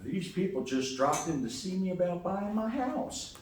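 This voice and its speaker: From a distance in a very echoey hall comes a folksy old grandfather played by a man, plain-spoken and stubborn. His voice sits a little high, with homespun turns of phrase, in the manner of a live radio play, over faint noise. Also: sing-song, moderate pace. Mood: concerned. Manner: plain-spoken, homespun, scolding then apologetic. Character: folksy elderly grandfather, gently stubborn, outspoken. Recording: echoey hall from far off, noisy.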